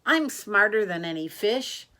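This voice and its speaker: A woman voicing a cocky, eager little girl in a storybook, loud and emphatic. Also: medium pitch, lively intonation, measured pace. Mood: boastful.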